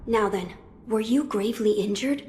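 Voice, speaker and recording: A woman plays a young highborn boy lord from a video game. The speech is formal, measured and gently commanding. It rings with long reverb over faint noise. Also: close to an everyday voice. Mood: calm, concerned. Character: calm, polite young noble boy, a shinobi's lord. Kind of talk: English-dubbed video game cutscene acting.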